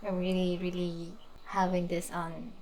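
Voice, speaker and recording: Slow-paced woman streaming, lowish voice. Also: completely flat delivery, darkish tone, slight background noise. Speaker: game streamer. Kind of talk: casual reactions to a game. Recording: game audio and music behind.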